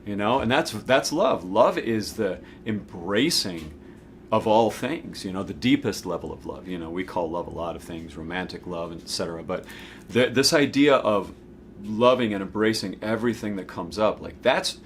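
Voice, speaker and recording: A man's voice: fairly low pitch, animated, moderate pace, slight background noise. A man in his forties gives an informal spiritual teaching talk to camera, conversational and emphatic, checking in with the listener as he goes. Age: 40s. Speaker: host of a spiritual self-help video series.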